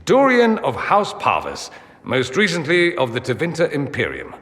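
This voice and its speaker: An actor playing a witty aristocratic mage in a fantasy game, theatrical, sardonic and very sure of himself. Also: male voice, on the high side, huge pitch swings, normal speed, a bit bright. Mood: suave, self-assured. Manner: theatrical, sardonic, self-assured. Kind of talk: video game dramatic acting. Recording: long hall reverb.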